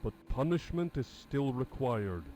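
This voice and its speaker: A man's voice: medium pitch, animated, unhurried, dark tone, a little hiss or hum, natural voice. A man voicing a stern, pious priest in a horror game, speaking solemnly as if sermonizing. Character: stern pious priest dispensing punishment to children.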